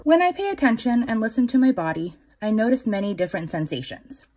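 A woman in her thirties reading a children's picture book aloud, instructive, pausing to put questions to young listeners. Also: a little high, big pitch swings, warm tone, slight background noise. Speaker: children's story time reader.

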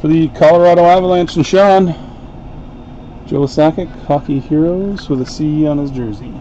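A man hosting a live card break, calling out each card in an unhurried, chatty way. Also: on the high side, warm tone, muffled recording, slight background noise. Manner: unhurried, chatty play-by-play while opening packs. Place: home card-break room, indoors.

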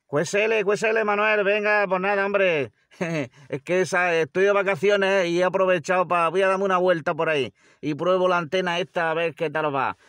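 A man's voice: high-pitched, steady tone, moderate pace, fairly bright tone, clear, resonant tone, no room sound. A man with an accent from Spain chatting casually as an amateur radio operator inside a car. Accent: Spanish from Spain. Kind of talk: casual ham radio contact.

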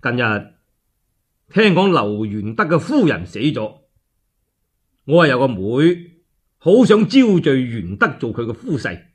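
A man's voice: medium pitch, fairly bright tone, husky, full character voice. Man playing emphatic, commanding Cantonese ruler. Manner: authoritative, emphatic. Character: commanding male ruler, quick to scold and scheme.

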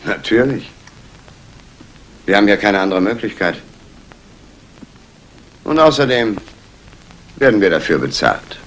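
A sardonic police inspector with a low male voice. His intonation swings widely as he probes, dry and wry, in the style of a vintage radio crime play. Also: very animated, measured pace, long pauses, fairly bright tone, full character voice, poor audio. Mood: weary, sardonic. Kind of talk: 1960s German radio drama acting.

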